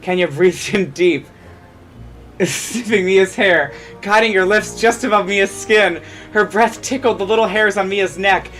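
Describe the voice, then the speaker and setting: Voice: medium pitch, a little slow, fairly bright tone, faint music behind. A theatrical reader telling a comedic scripted story, now and then stumbling over a line. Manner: theatrical, stumbles and repeats lines. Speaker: fan fiction reader.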